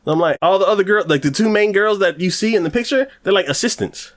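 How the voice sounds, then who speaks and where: A man's voice: high-pitched, animated, fast. A man co-hosting an anime podcast, chatting casually and rambling with lots of fillers. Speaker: podcast co-host.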